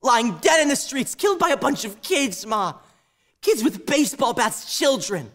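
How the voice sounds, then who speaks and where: A high-voiced young man, as acted by a student actor, playing a grieving and defiant son in a stage monologue. His delivery swings widely in pitch as he confronts his mother. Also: big pitch swings, moderate pace, fairly bright tone, heavily acted. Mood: anguished, outraged. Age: teens to young adult.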